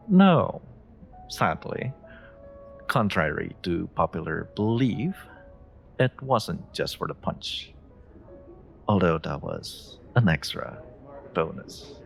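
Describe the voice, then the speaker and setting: A man's voice: deep voice, sing-song, creaky, faint music behind, full character voice. A man playing a suave, flirty stranger in a romantic audio roleplay, teasing and coy as he speaks directly to the listener. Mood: playful, bargaining. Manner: teasing, coy, unhurried, murmuring endearments. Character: suave flirty male stranger, playful and confidently charming. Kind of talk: romantic audio roleplay, one-sided dialogue addressed to the listener.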